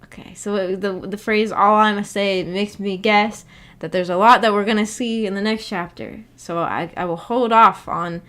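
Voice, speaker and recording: A woman with a medium-pitched voice chats casually as a livestream host. She thinks aloud in a rambling, hesitant way, feeling her way through each thought. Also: fairly even intonation, normal speed, a bit bright.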